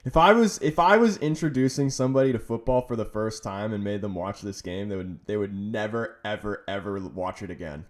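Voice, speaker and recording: A man's voice: on the low side, fairly quick, few pauses. Chatty young Canadian man streaming. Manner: chatty, frustrated, rambling, bursts of loud exasperation. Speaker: livestream watch-along host. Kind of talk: casual live-stream chat and match reaction.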